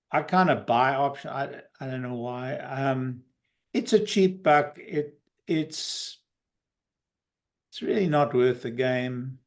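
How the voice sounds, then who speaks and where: A man giving casual market commentary as a guest, hesitant and hedging, with dry humour. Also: mid-range voice.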